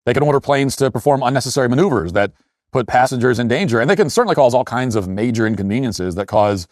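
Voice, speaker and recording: A man hosting an opinion commentary show, speaking in an emphatic, critical way with deliberate phrasing and asides. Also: mid-range voice, animated, darkish tone, no echo, clean studio sound.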